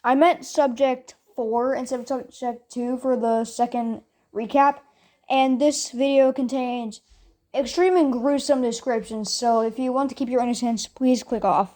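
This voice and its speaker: A woman delivers a scripted disclaimer in a high-pitched voice. The tone is dark and mellow, without any sharp edge. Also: steady tone, unhurried.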